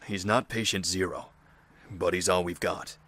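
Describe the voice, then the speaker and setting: A man playing a grave survivor leader in an apocalypse audio drama. His voice is very low yet bright and firm, measured and formal as he presses people for results. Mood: earnest, persuasive. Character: serious male survivor leader, pragmatic, persuasive, pressing for results. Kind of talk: fan-made audio drama acting.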